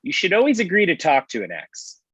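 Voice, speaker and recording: A man's voice: sits high, wildly swooping pitch, brisk, a bit bright, breathy edge, heavily acted. A man playing a needling, wisecracking friend in a comedy table read, heard through a dull online-call connection. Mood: teasing.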